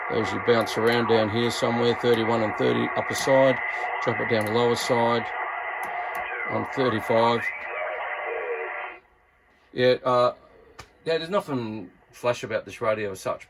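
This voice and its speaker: An Australian-accented man talks in a medium-pitched voice, like a hobbyist showing off his gear to fellow enthusiasts. His delivery is casual and blokey, full of colloquial turns, and stays laid-back as he reviews the equipment. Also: very noisy. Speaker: YouTube radio hobbyist and reviewer. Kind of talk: casual hands-on gear review with CB radio chatter.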